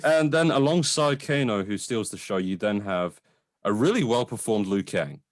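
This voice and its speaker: Male co-host, lower register, very animated intonation. Casual discussion style with searching, repetitive phrasing. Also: normal speed. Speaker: film review show co-host.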